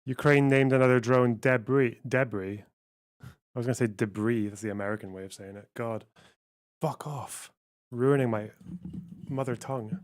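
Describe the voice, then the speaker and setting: A man's voice: mid-range voice, moderate pace. A man giving blunt, wry, sweary commentary on news during a live stream.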